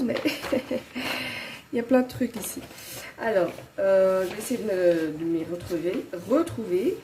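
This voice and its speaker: A woman's voice: lowish voice, background noise. A woman in her thirties with a Belgian French accent and a head cold, chatting casually and hesitantly to her viewers as she works through her monthly budget. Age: 30s. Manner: hesitant, many fillers and restarts. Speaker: budgeting vlogger.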